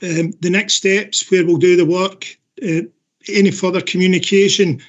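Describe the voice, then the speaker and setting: A man with a squeaky voice presenting a report to a meeting in an informal spoken way. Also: little pitch movement, moderate pace. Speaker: council officer presenting a report. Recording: muffled video-call audio.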